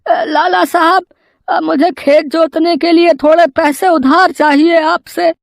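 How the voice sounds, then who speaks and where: A woman voicing a poor, humble, anxious village farmer in an animated moral story, with a hesitant, pleading manner. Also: very high-pitched, takes it slowly, gravelly, no room sound, clean studio sound, voice pushed up, exaggerated voice.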